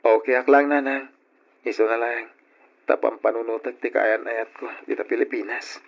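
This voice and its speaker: A man's voice: medium pitch, steady tone, normal speed, natural voice. A man playing a lovesick, worried young nephew working abroad in an old radio drama, with a plaintive Filipino accent. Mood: sad confiding. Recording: dull old radio recording, music behind.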